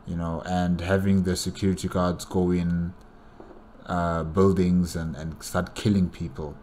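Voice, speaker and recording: A man's voice: sits low, little pitch movement, unhurried, a little mellow, a little hiss or hum. A man giving casual, rambling reaction commentary, thinking aloud as he goes. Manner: rambling, repeats "you know", thinking aloud. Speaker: reactor commenting on a video game movie.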